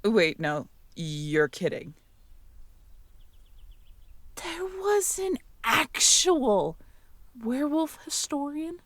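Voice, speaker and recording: A young woman in an ASMR audio roleplay, talking to the listener as a girlfriend, playful and teasing one moment and soft and caring the next. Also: high-pitched, sing-song, very slow, pauses often, no echo.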